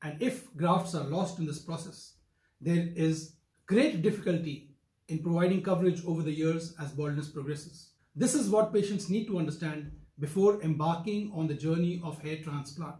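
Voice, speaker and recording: An older man with an Indian accent, giving a formal, emphatic explanatory talk as a doctor in a measured manner. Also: fairly high pitch, darkish tone. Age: older, around 60s. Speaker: doctor, hair transplant surgeon. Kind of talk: explanatory medical talk to camera. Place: wood-furnished office, indoors. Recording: roomy, echoing indoor sound.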